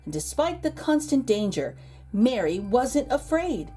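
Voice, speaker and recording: Expressive high-pitched woman reading a storybook. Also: breathy edge, soft background music. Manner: expressive, dramatic emphasis with pauses and hushed asides. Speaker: read-aloud host and storyteller. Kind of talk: children's picture book read-aloud.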